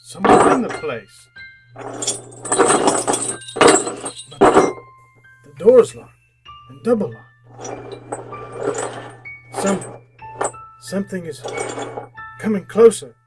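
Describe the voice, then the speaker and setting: A man's voice: sits high, big pitch swings, takes it slowly, pauses often, over loud music, full character voice. A man playing a miserly, cantankerous old businessman in an old-time radio drama, with a grumbling, theatrical, sputtering delivery. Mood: uneasy, frightened.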